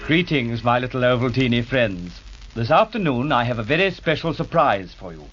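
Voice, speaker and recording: A man's voice: medium pitch, lively intonation, normal speed, a bit bright, a little hiss or hum. A man giving a formal, avuncular scripted address to young radio club members. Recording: muffled old 1930s radio recording.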